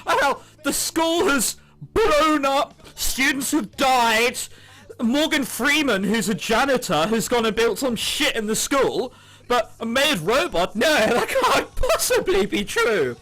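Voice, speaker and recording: A man's voice: very high voice, very animated, slow, pushed and bright, rough recording. A man reading a visual novel aloud, with sarcastic asides and swearing. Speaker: let's play host. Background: music.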